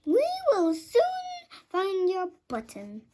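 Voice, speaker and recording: A woman's voice: extremely high pitch, huge pitch swings, slow, dark tone. A young girl co-hosting a children's read-aloud and reading out character lines.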